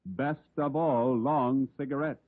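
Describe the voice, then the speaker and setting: An American man announcing in formal, measured 1950s radio style. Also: mid-range voice, fairly even intonation, no echo, narrow, tinny audio. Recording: thin old radio broadcast recording.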